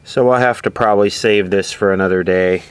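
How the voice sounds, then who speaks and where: A man giving casual hands-on repair commentary, thinking aloud in an offhand, low-key way as an electronics hobbyist. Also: steady tone, a little fast, clean tone. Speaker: electronics repair hobbyist presenting his own video.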